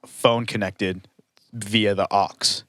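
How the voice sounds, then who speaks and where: A man's voice: lowish voice, fairly even intonation, slow pace, a bit bright, no echo. A man co-hosting a podcast, laid-back and agreeable, explaining DJ gear step by step in casual chat. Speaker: podcast co-host.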